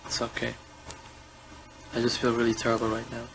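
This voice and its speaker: A man in his twenties from Guyana, a suspect answering questions in a hesitant, halting way and stumbling over repeated words. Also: mid-range voice, completely flat delivery, creaky, low-quality recording. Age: 20s. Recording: interview room audio with music overlaid.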